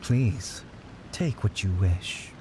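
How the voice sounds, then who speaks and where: An actor playing a serene, cryptic man of the shrine in an anime game, with measured, philosophical, old-fashioned formal speech. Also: deep voice, lively intonation, a little mellow, slight background noise, exaggerated voice. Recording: game music behind voice.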